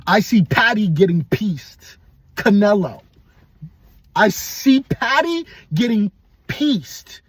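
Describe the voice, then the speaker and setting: A young man with a high, husky voice talks through fight picks in a slow, unhurried drawl. He is blunt and profane and rambles with restarts. The sound is dry and close. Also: no room sound. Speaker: MMA podcast host. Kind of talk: casual fight predictions.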